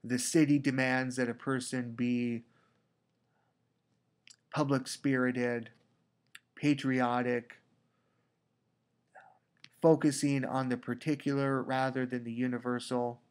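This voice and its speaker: Male teacher with a cold, congested, unhurried lecture delivery with restarts. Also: mid-range voice. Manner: slow, deliberate, with repeated restarts. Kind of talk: recorded lecture. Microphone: computer mic for a screen recording.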